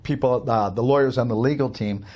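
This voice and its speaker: Middle-aged man from Canada, upbeat interview guest, rambling talk with fillers, mid-pitched voice. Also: fairly quick, mellow, highs cut off. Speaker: guest, cafe owner. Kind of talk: casual storytelling interview.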